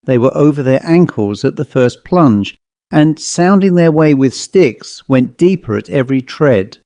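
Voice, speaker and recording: A man narrating a Victorian ghost story as a scripted audiobook, in a measured, dramatic storytelling manner. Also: mid-range voice, animated, dark tone, no echo.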